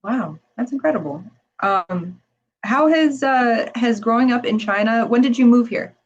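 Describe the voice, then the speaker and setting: A woman's voice: on the high side, unhurried, lo-fi sound, a little hiss or hum. Upbeat woman interviewer with many fillers. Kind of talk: casual interview.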